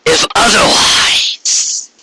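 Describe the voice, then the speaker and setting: A man's voice: very slow, very noisy. A man voicing a grandiose, menacing female alicorn tyrant who proclaims in archaic, theatrical royal speech for a story. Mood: triumphant.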